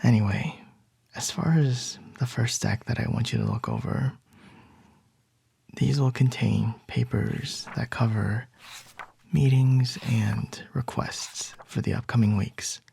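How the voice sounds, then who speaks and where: Man acting as slow, breathy, gravelly CEO boss. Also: mid-range voice, fairly even intonation, full character voice. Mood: calm, teasing. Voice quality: soft, breathy, whispery. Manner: unhurried, murmured, gently wry. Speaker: ASMR roleplay voice actor. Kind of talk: ASMR boyfriend roleplay acting.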